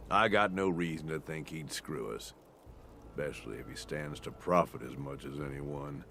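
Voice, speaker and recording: Rough raider second-in-command, real deep, slangy and scheming. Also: male voice, moderate pace, pushed and bright, slight background noise, heavily acted. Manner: rough streetwise drawl, persuasive. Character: gruff scheming male raider lieutenant.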